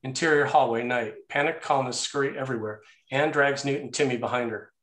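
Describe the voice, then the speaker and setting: A man's voice: medium pitch, fairly even intonation, brisk, a bit bright. A man narrating action and scene headings from a screenplay in a scripted read. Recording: roomy, echoey sound.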